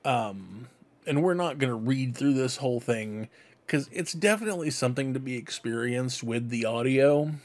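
A man's voice: medium pitch, expressive, normal speed. A middle-aged man hosting a fan vlog, giving casual show-and-tell commentary in a rambling, conversational way. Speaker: host of a fan book club vlog. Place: cluttered room indoors at home. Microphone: desk microphone close in front.

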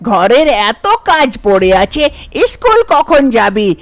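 A woman's voice: fairly high pitch, lively intonation, a little slow. A woman voicing a harsh, nagging, impatient village stepmother in a cartoon folk tale. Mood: scolding. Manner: nagging, bossy, dismissive. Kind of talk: cartoon story voice acting.

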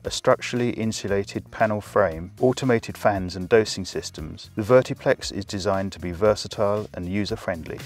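A man with a fairly deep voice explains a product in scripted promotional style. He speaks as a company spokesman, with music in the background. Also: moderate pace, few pauses.

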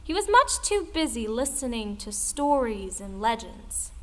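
High-voiced female folktale storyteller. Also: very animated, a little slow, sharp tone, exaggerated voice, rough recording. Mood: storytelling. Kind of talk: scripted dramatic folktale narration. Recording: music behind the voice. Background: music.

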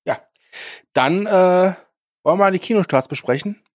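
A man's voice: sits high, moderate pace, dry recording, muffled recording. A man co-hosting a casual film news podcast, talking with frequent hesitations and filler sounds. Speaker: podcast co-host.

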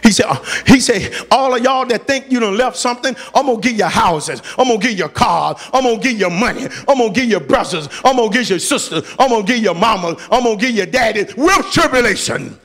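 A man's voice: extremely high pitch, lively intonation, quick pace, few pauses, fairly bright tone. A man preaching a fiery sermon, exhorting emphatically in colloquial, repetitive phrases and quoting scripture. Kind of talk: fiery sermon with scripture readings and acted quotes.

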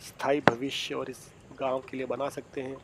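A man giving measured, scripted documentary narration as a travel vlogger. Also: medium pitch, little pitch movement, very noisy. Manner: measured, storytelling delivery.

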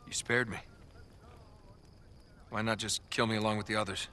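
An actor playing a determined adventurer, speaking in a casual, somewhat hesitant and wry way. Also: male voice, fairly low pitch, little pitch movement, normal speed, sharp tone, a little hiss or hum. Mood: earnest. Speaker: video game protagonist. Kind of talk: video game cutscene acting. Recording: game audio with faint music.

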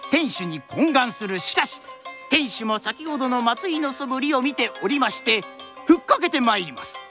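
A woman's voice: sits high, big pitch swings, moderate pace, a bit bright, faint music behind. A woman narrating a drama from a script in a theatrical, traditional storyteller's style.

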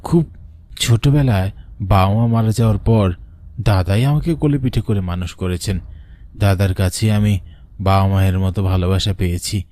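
A man's voice: lowish voice, moderate pace, long pauses, mellow, natural voice. A man voicing a devoted younger brother who narrates a cartoon story in an emotional, literary, sorrowful way. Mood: shocked, grieving. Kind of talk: dramatic first-person cartoon narration.

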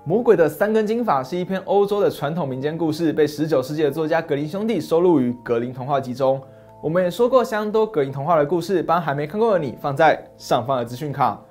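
A man in his thirties narrating a fairy tale and explaining it, steady and expressive. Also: fairly high pitch, quick pace, faint music behind. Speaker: storyteller host. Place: dim study set, indoors. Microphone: lapel mic.

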